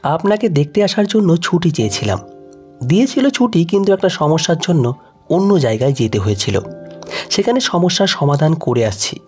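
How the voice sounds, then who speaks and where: Young policeman groom-to-be, read by a male narrator, courteous, decisive, lively intonation, light music behind, emotional audio drama. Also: on the high side. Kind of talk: emotional audio story dialogue.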